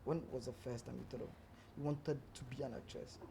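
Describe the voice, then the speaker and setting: A man's voice: mid-range voice, fairly even intonation, brisk, gravelly, mellow, slight background noise. A Ghanaian man hosting a podcast, interviewing a guest with playful, teasing banter. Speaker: podcast host. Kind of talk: casual interview chat.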